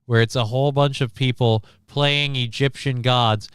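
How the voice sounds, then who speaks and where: Blunt, sarcastic man with a clear voice. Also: mid-range voice, steady tone, normal speed, no room sound, clean studio sound. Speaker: livestream host. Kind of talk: casual profane movie commentary.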